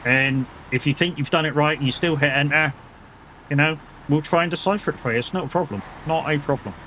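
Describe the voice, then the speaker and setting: British bloke on a live game stream, talking to chat, bright punchy voice, easygoing, swears a bit, bit of engine noise behind. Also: male voice, fairly high pitch, fairly quick. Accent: British English. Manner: chatty, reassuring, swears casually, laughs often. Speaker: gaming streamer.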